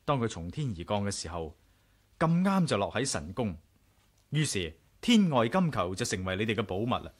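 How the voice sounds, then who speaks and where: An actor with a Hong Kong Cantonese accent playing a curious, self-assured adventurer in a radio drama, frank and measured. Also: male voice, on the low side, big pitch swings. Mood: frank, speculative. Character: curious, bold male adventurer narrating his own tale. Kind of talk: radio drama acting and narration.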